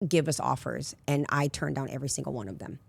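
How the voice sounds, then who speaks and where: A raspy woman in her forties, a life coach telling candid personal stories in a casual interview. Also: extremely low pitch, fairly even intonation, fast. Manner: candid, rambling storyteller with frequent asides. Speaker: life coach, podcast guest.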